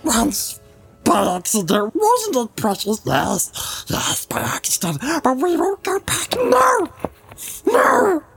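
Wretched split-minded creature, gravelly hiss, wildly leaping high-pitched voice, slow drawn-out words, storybook. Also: female voice, wildly swooping pitch, a bit bright, soft background music, full character voice. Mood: frantic. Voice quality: gravelly, hissing, whispery. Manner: swings wildly between whimpering and shrieking. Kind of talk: audiobook character voice.

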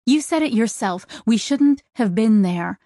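A woman's voice: a little high, expressive, unhurried, mellow, no echo, clean studio sound. A woman voicing a young female starship crew member, grieving and angry, speaking through tears. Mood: upset. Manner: tearful, fierce.

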